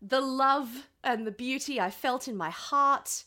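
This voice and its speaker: A woman's voice: high voice, a little slow, pushed and bright. An Australian woman co-hosting a casual comedy podcast, playful, self-mocking and sweary, sounding a little congested with a sore throat. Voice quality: slightly stuffy, congested. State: sore throat from a cold night out. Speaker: podcast co-host.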